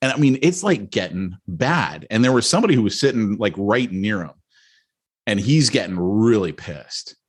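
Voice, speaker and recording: A middle-aged man co-hosting a podcast, telling a story in a rambling, animated way with many restarts and asides. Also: fairly low pitch, moderate pace, husky. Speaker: podcast co-host. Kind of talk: casual storytelling chat.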